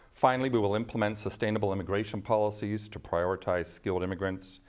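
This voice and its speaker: Deep-voiced candidate man, scripted. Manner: steady, scripted delivery. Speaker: election candidate. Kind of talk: prepared closing of a debate statement.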